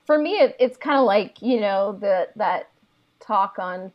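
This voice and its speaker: A woman's voice: medium pitch, expressive, unhurried, muffled recording. A young woman talking casually about her creative project, tentative and reflective, often saying "like" as she thinks. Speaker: creator of a fan audio drama. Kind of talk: casual conversation.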